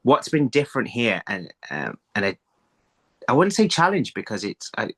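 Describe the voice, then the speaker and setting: A man's voice: mid-range voice, wildly swooping pitch, normal speed, fairly bright tone, breathy edge. A middle-aged man talking casually as an interview guest on a video call, rephrasing himself mid-thought. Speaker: interview guest, audio drama writer. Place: small room at home, on a video call. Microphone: phone on a video call.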